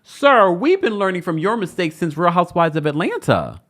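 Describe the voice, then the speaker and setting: A man's voice: high-pitched, very animated, moderate pace, a bit bright. A man hosting a celebrity news show, reading the story and adding mocking, sassy asides. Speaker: show host.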